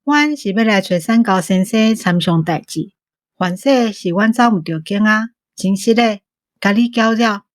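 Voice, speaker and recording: A woman's voice: medium pitch, measured pace, no echo, studio quality, natural voice. A woman playing a polite, shrewd and cautious young group leader with a Taiwanese accent in a radio drama. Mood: apologetic, firm.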